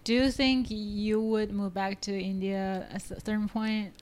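Host: woman, attentive interviewer, short affirmations between calm questions. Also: mid-range voice, unhurried. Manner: listens, with frequent short affirmations. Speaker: podcast host. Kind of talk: interviewer, brief backchannels and questions.

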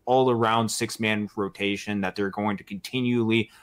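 A man co-hosting a sports podcast, explaining things in a measured, earnest way in casual commentary. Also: fairly low pitch, fairly even intonation.

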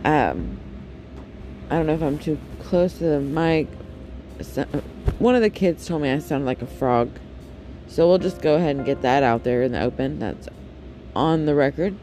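Raspy man chatting casually. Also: moderate pace, warm tone, faint music behind, rough recording. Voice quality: deep and raspy, especially in the morning. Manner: rambling and candid, many ums and self-corrections. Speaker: podcast host. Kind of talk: casual solo podcast chat.

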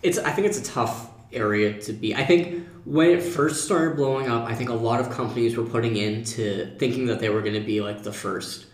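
A man's voice: mid-range voice, a little fast. An American man talking casually as a co-host on a theatre podcast. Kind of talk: casual panel chat about theatre. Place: echoey indoor room. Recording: roomy, echoing podcast room.

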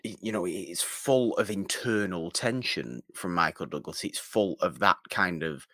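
A man's voice: sits low, expressive, a little slow, bright, forceful tone, dry recording, studio quality. A man co-hosting a podcast, talking casually about film and repeating his points for emphasis. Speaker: podcast co-host.

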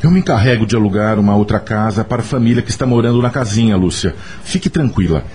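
An actor playing a protective, steadfast brother in a radio soap opera, speaking in a firm, earnest way. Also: male voice, on the low side, expressive, fast talker, a little mellow, close to an everyday voice. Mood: reassuring. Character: protective, steadfast brother standing up for his sister.

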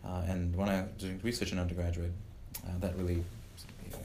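Deep flat-voiced man, gravelly. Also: background noise. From Uganda. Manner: measured, with frequent "uh" fillers and restarts. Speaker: professor and guest. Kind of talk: podcast interview.

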